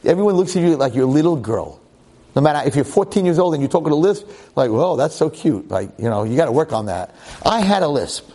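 Animated man teaching a Torah class. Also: fairly high pitch, quick pace. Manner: emphatic, repetitive, dramatic rhetorical questions; public speaker. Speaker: Torah lecturer.

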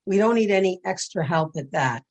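A woman with a raspy voice giving a gentle, earnest spiritual class over a video call. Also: on the low side, lively intonation, fairly quick. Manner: earnest and gentle, with frequent ums. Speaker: Torah educator and speaker.

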